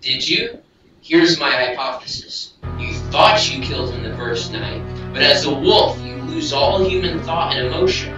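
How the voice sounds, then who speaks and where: A man's voice: mid-range voice, a little slow, lo-fi sound, close to an everyday voice. A man playing a brave, earnest young ninja hero with dramatic delivery in an amateur audio drama. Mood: explaining. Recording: echoey, distant hobby recording over music.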